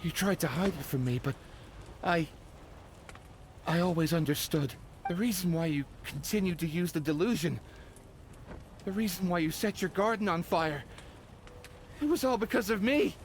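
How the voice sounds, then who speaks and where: A man's voice: high-pitched, lively intonation, moderate pace, pauses often, a little hiss or hum. An actor playing a grieving man in a game cutscene, pleading with anguish for his lost love. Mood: remorseful.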